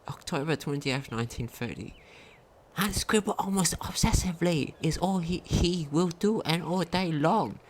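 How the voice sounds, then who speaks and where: A woman's voice: fairly low pitch, lively intonation, moderate pace, a little hiss or hum. A woman reading a game diary aloud as a video commentator, sometimes stumbling over her words.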